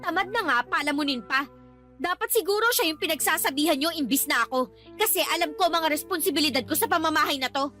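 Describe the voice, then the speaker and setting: A very high-voiced, defiant daughter. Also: female voice, quick pace, pushed and bright, soft background music. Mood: sarcastic, defiant. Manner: sarcastic, talking back. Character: defiant, resentful breadwinner daughter arguing with her father. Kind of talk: radio drama acting.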